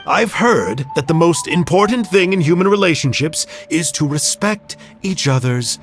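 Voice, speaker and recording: A man's voice: fairly high pitch, lively intonation, normal speed, faint music behind, natural voice. A man playing a cheerful, breezy male spy from a villainous order, disarming and talkative. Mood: coaxing. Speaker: captured villain.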